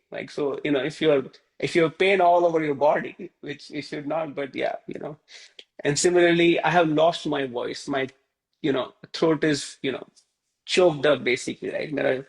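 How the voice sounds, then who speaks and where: A man from India leads an online Hindi class over a video call. He rambles and fills his talk with "you know" and "like". His voice sits fairly high for a man. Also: low-quality recording. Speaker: Hindi teacher.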